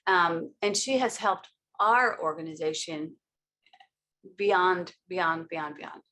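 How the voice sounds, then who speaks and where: Woman host, introduces guest, lowish voice, emotional and halting. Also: bright, forceful tone. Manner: emotional, gushing, halting with many "um"s.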